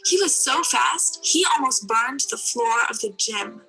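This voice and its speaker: Eager little storybook girl, voiced by a woman narrator: bright, highish, excited and wondering. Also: unhurried, faint music behind, heavily acted, rough recording. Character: eager, imaginative little girl dreaming of superpowers.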